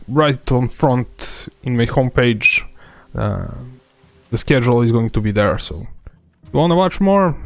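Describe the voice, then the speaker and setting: Relaxed Serbian-accented male game streamer. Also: mid-range voice, dry recording. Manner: relaxed, rambling, many fillers. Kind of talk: live gameplay commentary.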